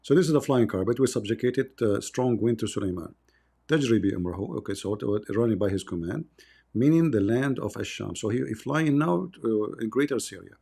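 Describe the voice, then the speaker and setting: A man's voice: on the low side, lively intonation, fast, gravelly, dark tone, slightly rough. A man hosting a live debate, mocking and sarcastic as he reads verses aloud and searches for passages. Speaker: debate host.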